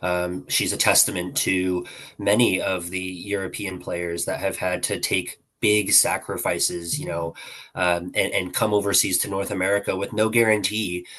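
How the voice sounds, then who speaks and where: A man's voice: low voice, little pitch movement, moderate pace, a bit bright. A man talking as a guest analyst on a hockey podcast, hesitant, with many ums and restarts. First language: not Czech. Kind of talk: podcast interview chat.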